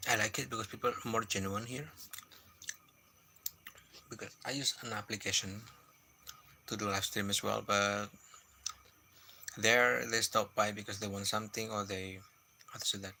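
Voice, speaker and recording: A man chatting softly on a late-night livestream at home, often searching for his words. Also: fairly low pitch, steady tone, moderate pace, long pauses, slight background noise. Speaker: live streamer. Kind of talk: casual late-night livestream chat with viewers.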